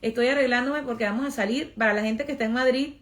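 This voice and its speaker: High-pitched Venezuelan woman vlogging emphatically. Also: fast. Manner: emphatic, repeats phrases for effect, colloquial. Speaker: social media influencer and vlogger. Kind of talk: casual get-ready-with-me vlog monologue.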